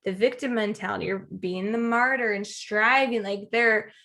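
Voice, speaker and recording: A woman's voice: mid-range voice, fairly even intonation, normal speed, creaky, fairly bright tone. A woman co-hosting a podcast in casual conversation, voicing her inner thoughts as little quotes. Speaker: podcast co-host.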